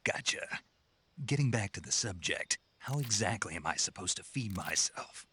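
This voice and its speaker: A gruff, husky soldier. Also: male voice, on the low side, expressive, moderate pace, bright, forceful tone, full character voice. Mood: wry. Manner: laconic and sardonic. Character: gruff male special forces soldier, dry wit. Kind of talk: game dialogue.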